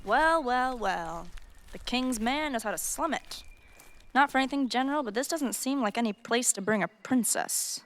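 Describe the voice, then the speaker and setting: A woman's voice: on the high side, lively intonation, moderate pace, fairly bright tone, slight background noise. An actress playing a scornful, hard-edged young female fighter in a fantasy audio drama, sardonic and dismissive. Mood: mocking.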